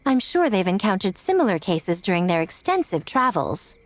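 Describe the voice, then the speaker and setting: A woman's voice: medium pitch, very animated, moderate pace, no room sound, lightly acted. A woman playing a sly, teasing, theatrical lady in a video game, with an arch, wry delivery. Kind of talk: video game cutscene acting.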